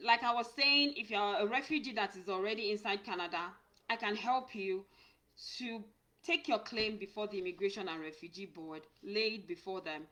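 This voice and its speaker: A woman's voice: mid-range voice, bright, forceful tone. A woman who works as an immigration consultant, giving earnest advice on a live stream and often repeating or restarting words as she speaks. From Nigeria. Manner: earnest, repeats and restarts words, reads viewer comments aloud. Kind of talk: live-stream talk answering viewers.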